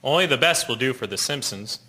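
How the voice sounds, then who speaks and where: An actor playing a hardworking, plain-spoken carpenter in an audio drama. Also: male voice, mid-range voice, animated, fairly quick, bright, forceful tone. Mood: brisk, businesslike. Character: hardworking male carpenter, proud, stubborn, later sickly. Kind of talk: scripted audio drama acting.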